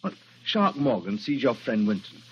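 An actor playing a smug, suave gentleman villain in a radio drama, politely mocking, with a cool menace. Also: medium pitch, sing-song, natural voice. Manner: suave, mocking, deliberate politeness with feigned hesitation. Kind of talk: 1940s radio acting. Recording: muffled, hissy 1940s radio recording.

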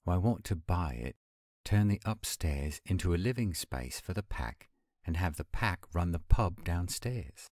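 A man acting an earnest young werewolf pack leader in a roleplay audio drama, speaking in a measured way. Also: deep voice, lively intonation, a little mellow, no room sound, clean studio sound. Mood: earnest, hopeful.

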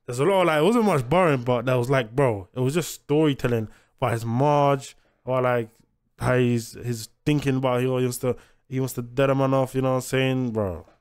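A man's voice: mid-range voice, brisk, darkish tone, clean tone. Young British man, casual slangy commentary. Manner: repetitive, emphatic, informal. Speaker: music reaction host.

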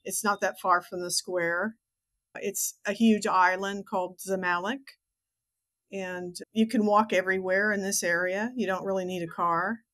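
A woman's voice: medium pitch, steady tone, measured pace, fairly bright tone. American woman in her sixties, chatting. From Texas, United States. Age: 60s. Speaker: podcast guest, author and teacher. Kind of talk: conversational interview.

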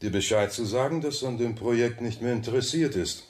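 An actor playing a suave, wealthy banker who talks smoothly and with polite condescension in a radio play. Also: male voice, mid-range voice, brisk, darkish tone, lightly acted. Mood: coolly controlling. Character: suave, wealthy banker, coolly probing his rival. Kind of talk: German radio drama dialogue.

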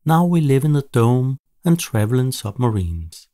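A man's voice: mid-range voice, big pitch swings, moderate pace, dark tone, voice pushed up, lightly acted. A man voicing a young cartoon-animal child in a storybook, earnest and plaintive. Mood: explaining.

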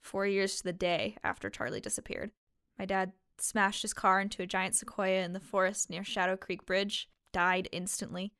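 A woman acts out a young waitress in a fiction podcast who keeps her guard up over her grief. She talks plainly and haltingly at a medium pitch, weary and a little defensive, in a very dry recording. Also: a bit bright, clean tone, no echo, natural voice. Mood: resigned, bitter. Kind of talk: fiction podcast drama acting.

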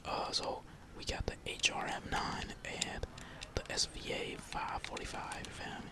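A gamer whispering slangy, laid-back ASMR commentary while playing, with casual swearing. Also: a little slow. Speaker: gaming streamer.

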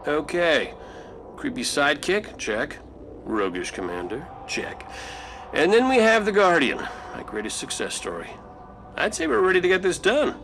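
A cocky, roguish video game commander with a slightly high voice. He talks in a wry, offhand way, always ready with a wisecrack, over clearly audible background noise. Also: very animated, moderate pace, fairly bright tone.

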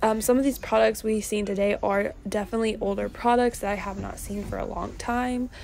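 A young woman narrating a casual shopping haul, chatty and relaxed, with lots of ums and likes. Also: mid-range voice, fairly quick, gravelly, faint music behind. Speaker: vlogger.

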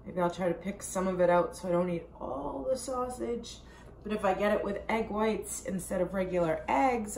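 A woman in her forties talks through her day in a relaxed, rambling video diary, her voice slightly hoarse from a sore throat. She speaks to a camera at arm's length in her home kitchen. There is faint background noise. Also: mid-range voice. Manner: chatty, rambling, self-deprecating. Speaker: diet vlogger.

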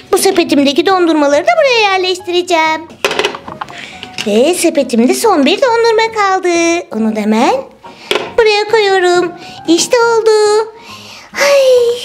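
A woman acting out a doll story for children in a childlike, sing-song, exaggerated manner. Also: very high-pitched. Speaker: toy play channel presenter. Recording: music bed under the voice. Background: music.

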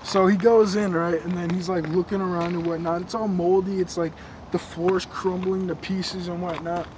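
A young man telling a creepy story in casual slang, recorded in a dark room on a camera a few feet away. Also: high-pitched, level tone, normal speed. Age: young adult. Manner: slangy, laid-back, joking. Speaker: co-host. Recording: noisy camera audio.

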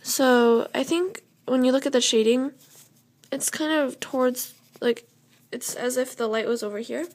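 Slightly hoarse young woman explaining a drawing. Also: high voice, a little slow. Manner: chatty, loose, with fillers and self-corrections. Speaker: drawing tutorial host. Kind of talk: casual step-by-step drawing tutorial. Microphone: camera over the drawing paper, speaker off frame.

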